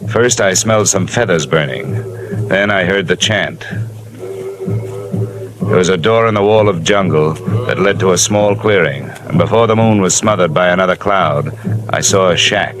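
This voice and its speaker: An actor playing a wisecracking insurance investigator who narrates a 1950s radio drama in a dry, hard-boiled way. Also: male voice, sits low, moderate pace, over music, lightly acted, poor audio. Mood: wry. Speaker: narrator and lead.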